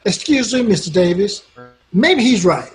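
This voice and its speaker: Man acting meek devout frontier man, high voice, deferential, polite, old radio western. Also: very animated, unhurried, faint music behind. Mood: humble, earnest. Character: humble, deferential, devout townsman.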